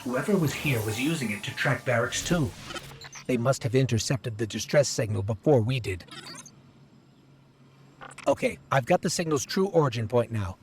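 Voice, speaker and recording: An actor playing a small, worried robot companion in a video game, explaining things earnestly. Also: male voice, medium pitch, animated, normal speed, long pauses, a little hiss or hum, lightly acted. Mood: urgent, concerned.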